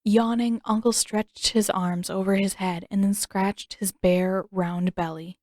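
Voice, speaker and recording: A woman narrates a scripted adventure story in a medium-pitched voice. The recording is dry and close, like an audiobook. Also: fairly even intonation, unhurried, a little mellow, dry recording.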